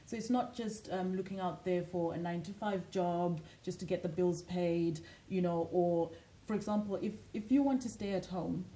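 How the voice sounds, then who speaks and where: A woman's voice: fairly low pitch, moderate pace, few pauses, warm tone, rough recording. A woman from Zimbabwe, a lifestyle coach and author, speaking earnestly and motivationally as a guest in a TV studio interview.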